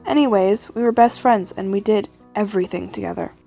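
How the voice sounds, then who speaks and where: A woman's voice: medium pitch, fairly quick, creaky, mellow, soft background music. A woman narrating a first-person scary story in an informal, conversational way.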